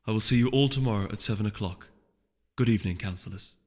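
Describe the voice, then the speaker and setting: A man voicing a gentle, earnest pony princess in a fantasy storybook, speaking formally and solemnly. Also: lowish voice, quick pace, natural voice. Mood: formal dismissal. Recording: long reverb, music underneath.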